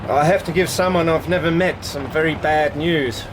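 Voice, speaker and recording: A male actor plays a well-mannered young traveller in a period radio drama. His voice is a little high and hesitant, with faint ambience around it. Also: fairly even intonation, normal speed, noisy background, natural voice. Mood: polite, hesitant. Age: young adult. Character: polite, hesitant young nephew arriving from abroad.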